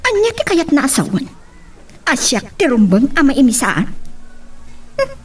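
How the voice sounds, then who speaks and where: A woman's voice: high-pitched, wildly swooping pitch, moderate pace. A woman playing a proud, fretful, complaining woman in a radio drama. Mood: aggrieved. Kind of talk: Ilocano radio drama acting.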